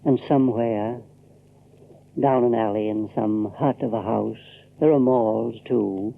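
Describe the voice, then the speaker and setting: A man's voice: on the low side, steady tone, a little slow, creaky, narrow, tinny audio. A man narrating a scripted drama in a theatrical storytelling style, full of rhetorical questions and repetitions.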